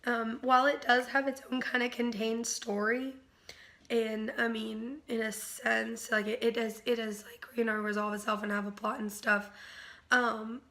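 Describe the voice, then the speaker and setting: A woman with a bright, slightly high voice, still worn from a recent illness. She rambles through a casual book review, full of ums and likes and animated asides. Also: measured pace.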